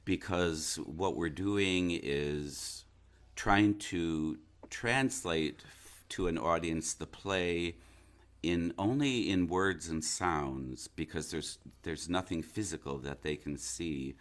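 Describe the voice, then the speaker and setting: A man speaking reflectively as an interview guest, thoughtful and halting as he searches for his words. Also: low voice. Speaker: interview guest, stage actor.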